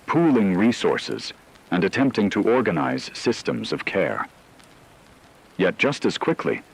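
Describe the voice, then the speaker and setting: A man performs a grave radio disaster correspondent with a low, husky voice. He delivers formal, scripted narration in a solemn and measured way, as in a radio drama. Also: a bit bright, exaggerated voice, low-quality recording. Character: grave male radio correspondent reporting a global catastrophe.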